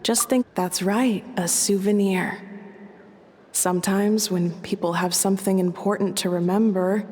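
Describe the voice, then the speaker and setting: A woman playing a gentle, wise female mentor who gives soft, caring advice. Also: medium pitch, steady tone, a little slow, close to an everyday voice. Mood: tender. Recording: long hall reverb.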